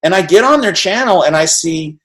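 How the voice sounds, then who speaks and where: A middle-aged man hosting an interview over a video call, talking in an energetic, rambling way and often tagging on "right?". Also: high-pitched, a little fast. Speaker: interview host. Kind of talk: animated casual conversation.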